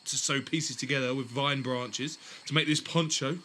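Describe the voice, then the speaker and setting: A man's voice: mid-range voice, fairly even intonation, normal speed, sharp tone, a little hiss or hum, natural voice. A man in an audio drama playing an amiable settler, conversational and slightly tentative. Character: easygoing male settler, expectant parent, amiable companion.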